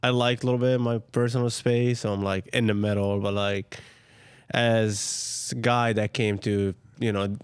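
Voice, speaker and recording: A young man from Jordan, a restaurant co-owner, talking as a guest in a soft-spoken way and searching for words. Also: fairly low pitch, little pitch movement, moderate pace, smooth, dry recording, clean studio sound. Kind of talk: casual interview chat.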